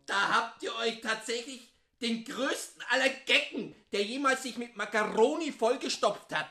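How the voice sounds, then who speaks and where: A woman's voice: a little high, moderate pace. A woman playing a mocking, scornful theatre Doctor in a scripted comic radio drama, theatrical in delivery, with music under the voice. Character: mocking theatre Doctor jeering at a stingy, vain master. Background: loud guitar music.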